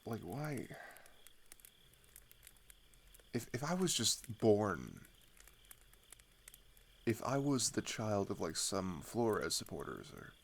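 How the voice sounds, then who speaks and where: A young man playing a weary teenage boy fleeing his homeland, speaking haltingly in an intimate, naturalistic audio roleplay. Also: fairly low pitch, big pitch swings, exaggerated voice. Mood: sorrowful, withdrawn. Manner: hesitant, halting, sighing, trailing off.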